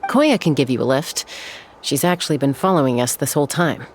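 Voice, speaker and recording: A woman's voice: extremely low pitch, animated, fairly quick, a little mellow, a little hiss or hum. An actress playing a self-assured young gunslinger woman in a fantasy video game, with a cool, composed, dry delivery. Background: game music.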